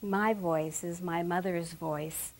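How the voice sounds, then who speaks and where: A woman with a soft voice telling a personal family story, hushed and lingering, with sharp emphasis on key words. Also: on the low side, fairly even intonation, takes it slowly, creaky. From Bermuda.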